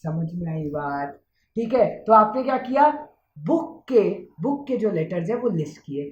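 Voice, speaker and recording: A woman's voice: mid-range voice, big pitch swings, a little slow, darkish tone, slight background noise. A woman teaching maths in mixed Hindi and English, patiently repeating check-ins and drawing out the English terms. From India. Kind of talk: Hindi-English classroom explanation. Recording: echoey room, slightly muffled.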